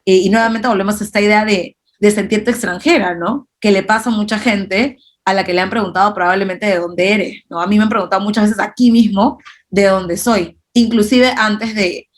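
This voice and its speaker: A woman with a Peruvian accent talks through her points in an unhurried, explanatory way, often checking in with the listener. Her voice sits in a middle pitch for a woman. The tone is that of a relaxed conversation between two guests. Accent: Peruvian Spanish. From Peru. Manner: thoughtful, explanatory, with frequent tag questions. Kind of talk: conversational discussion.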